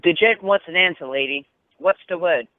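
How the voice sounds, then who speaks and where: A man's voice: a little high, moderate pace, a little hiss or hum, natural voice. A man playing a streetwise, eager errand boy in a radio play, talking in ungrammatical slang. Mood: brash, insistent. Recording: narrow phone-like sound, faint guitar music.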